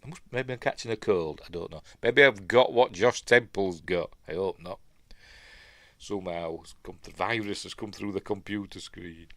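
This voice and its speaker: A man's voice: deep voice, normal speed, pushed and bright, no room sound. A man with a Yorkshire accent, sniffly from a stuffy nose, hosting a live news stream in a rambling, chatty way full of mumbled asides. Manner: chatty, self-interrupting asides and mumbled afterthoughts.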